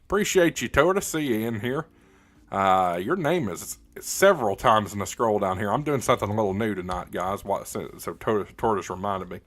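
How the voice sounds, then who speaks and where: A man hosting a casual hobby livestream, answering comments in a halting, plain-spoken, opinionated way, often repeating words as he goes. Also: lowish voice, expressive, dry recording. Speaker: livestream host, ham radio hobbyist.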